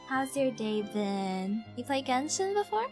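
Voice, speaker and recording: Playful, fairly high-voiced woman streamer. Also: unhurried. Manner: playful, expressive, exclamatory. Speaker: live streamer. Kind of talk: casual chat while streaming a game. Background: game music.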